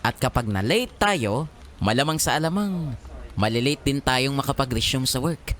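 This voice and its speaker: A man playing a flamboyant, teasing office coworker in a Filipino audio drama, with a campy, playful, drawn-out delivery and a Filipino accent. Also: medium pitch, expressive, fairly bright tone, close to an everyday voice. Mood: playful bossy.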